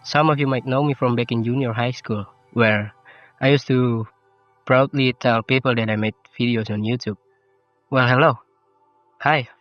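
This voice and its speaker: Teenage male vlogger, Indonesian accent, non-native English, reflective and self-deprecating, slightly lo-fi voice-over with clear music underneath. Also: medium pitch, fairly even intonation, normal speed. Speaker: YouTuber. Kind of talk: personal vlog narration. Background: game soundtrack music.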